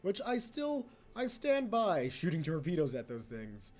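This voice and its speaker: Young man, casual gameplay commentary, rambling, self-mocking, mellow tone, faint music behind. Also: a little high, wildly swooping pitch, unhurried. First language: English. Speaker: let's play host.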